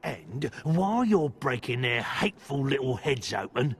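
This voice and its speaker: A man playing a nervous, vindictive shopkeeper with a halting, theatrical delivery in a video game. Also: mid-range voice, very animated, breathy edge. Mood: gleefully vengeful. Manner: halting, theatrical, drawn-out words. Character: nervous, vindictive, beetle-phobic male shopkeeper. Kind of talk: video game cutscene dialogue.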